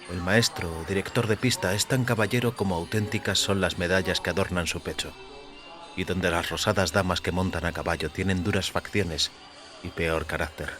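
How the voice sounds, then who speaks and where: Male narrator for dramatic audio fiction: low-pitched, crisp bright edge, measured literary delivery, light music underneath. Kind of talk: dramatic scripted story narration.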